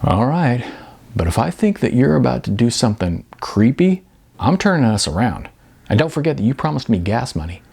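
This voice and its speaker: A man's voice: mid-range voice, sing-song, normal speed, creaky, darkish tone, breathy edge, heavily acted. A man voicing a wary, reluctant young sidekick in an audiobook, dry and skeptical. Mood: grudging.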